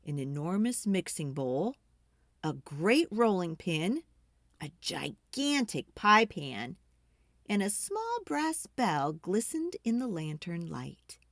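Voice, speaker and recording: Animated, unhurried woman reading storytime. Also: on the low side, no room sound, studio quality. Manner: dramatic emphasis, drawn-out key words. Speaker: children's book reader. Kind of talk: expressive storytime read-aloud.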